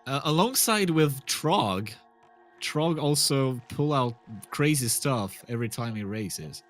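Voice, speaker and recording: A man co-commentating a stream race, relaxed and quick to laugh, grumbling playfully at the game. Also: mid-range voice, soft background music.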